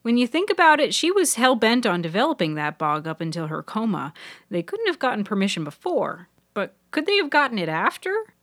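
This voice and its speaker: A woman's voice: on the high side, big pitch swings, a little fast, no echo, clean studio sound, pitched above the performer's own voice. A woman voicing a sweet, conspiratorial young woman intern in a fiction podcast. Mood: suspicious. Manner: sweet, hushed, knowing. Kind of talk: audio fiction character dialogue.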